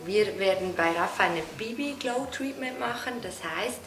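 A woman's voice: mid-range voice, expressive, normal speed, a bit bright, far from the mic, soft background music. A woman in her forties, a beautician, earnestly explaining a skin treatment in her salon. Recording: roomy, from off the mic.

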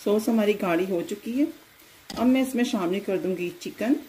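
Woman doing a recipe voiceover, steady instructions, warm mellow voice. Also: medium pitch, normal speed, a little hiss or hum. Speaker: cooking channel host. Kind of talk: step-by-step recipe voice-over.

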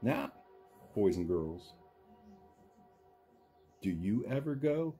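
Friendly dinosaur storyteller for a children's cartoon storytime, played by a man: low, mellow, husky, theatrical, asking the kids questions. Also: very animated, takes it slowly, faint music behind, full character voice. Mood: friendly, chatty. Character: friendly green dinosaur puppet, animated children's storytime reader. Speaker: storytime reader for a school class. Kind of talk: children's storybook read-aloud with asides.

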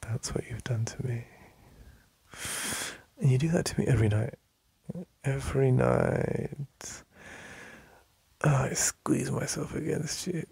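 A man with an English accent playing an affectionate, teasing boyfriend in an intimate ASMR roleplay, murmuring in a deep, breathy voice. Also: mellow, no room sound, heavily acted. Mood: adoring, intimate. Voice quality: deep, breathy, husky, gravelly. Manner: slow, murmuring, with sighs and lingering pauses.

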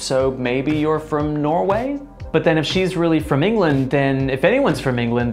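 A young man presenting a scripted educational explainer to camera in a studio, conversational with playful asides to the viewer. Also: fairly high pitch.

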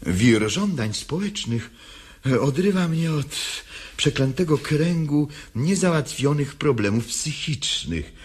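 A defiant old woman declaims a radio play monologue in a husky voice. The delivery is theatrical and slow, with pitch that swings widely between hushed confiding and outcry. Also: animated, full character voice. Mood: musing.

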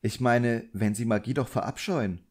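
A man's voice: mid-range voice, moderate pace, darkish tone, voice pushed up, lightly acted. Casting: shy boy wizard's apprentice for an audiobook, polite, hesitant, deferential, read by a man. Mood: cautious. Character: timid, polite young boy sorcerer's apprentice.

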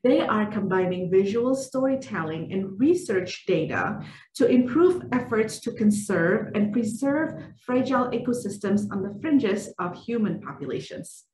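A woman's voice: mid-range voice, darkish tone. Echoey woman hosting. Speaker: host. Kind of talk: scripted introduction to camera. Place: small indoor room. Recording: echoey room, distant mic, faint noise.

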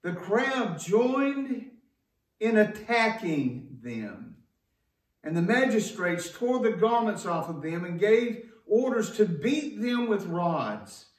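A man's voice: high-pitched, sing-song, slow pace. A man preaching a sermon as a pastor, in an emphatic, folksy way with rhetorical questions. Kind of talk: sermon to a congregation. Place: church sanctuary, indoors at a wooden pulpit. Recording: echoey room, distant microphone.

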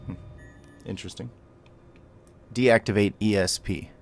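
Male tutorial host, low register, casual explainer with clipped commands, slight background ambience. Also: fairly even intonation, unhurried, gravelly, slight background noise. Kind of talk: gameplay tutorial commentary.

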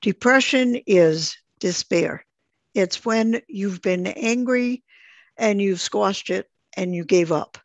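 Slow-paced woman teacher, slightly gravelly voice. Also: mid-range voice, dry recording. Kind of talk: explanatory online group lesson.

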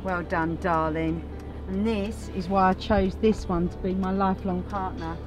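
A playful, chatty woman in her thirties talks to the camera on a travel vlog, laughing easily. Her voice sits at an ordinary middle pitch and is picked up by a camera mic. Background music plays clearly under her. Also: steady tone, normal speed. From England. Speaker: vlogger. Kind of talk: casual travel vlog chat. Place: in a campervan and out in woods and dunes.

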